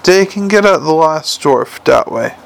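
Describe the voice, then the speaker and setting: Male reader as troll sergeant: big, earnest, slow, dialect-heavy, gravelly. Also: a little high, lively intonation. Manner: slow, patient talk with thick "der" dialect.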